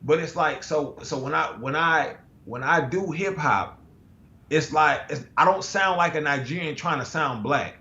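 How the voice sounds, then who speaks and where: A man's voice: a little high, normal speed, sharp tone. A man from the United States, a guest artist talking casually in a laid-back, slangy way with lots of fillers and restarts over a video call. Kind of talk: casual conversation. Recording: roomy, dull lo-fi call audio.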